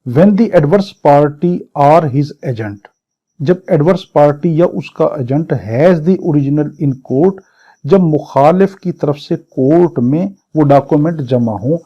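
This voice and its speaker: A man's voice: fairly high pitch, warm tone, breathy edge. A man with a Pakistani accent teaching law as an exam-prep lecture, reading out English statute phrases and explaining each one point by point. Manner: didactic, repeats and rephrases point by point. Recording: dull, muffled lo-fi audio.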